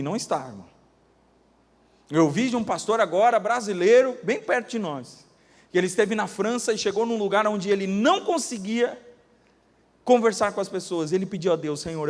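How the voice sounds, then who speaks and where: A Brazilian pastor preaches in a high, bright voice, his words carried by the long ring of a church hall. He tells stories with drama, rising loud and then dropping to a hush as he acts out the voices of those he quotes. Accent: Brazilian Portuguese. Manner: dramatic storytelling, acting out quoted voices, swinging from loud to hushed. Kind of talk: sermon.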